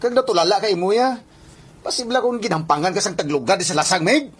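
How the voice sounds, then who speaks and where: A man's voice: sits high, quick pace, close to an everyday voice. A man acting a teasing, incredulous young buddy in a radio drama, mocking and exclamatory, with a Western Visayan Filipino accent.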